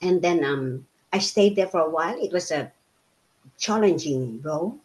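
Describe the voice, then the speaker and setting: A woman's voice: deep voice, animated, moderate pace, darkish tone, rough recording. A woman in her fifties from the Philippines, a native Tagalog speaker, recounting her life in a casual interview with many fillers and restarts. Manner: chatty with frequent fillers and restarts.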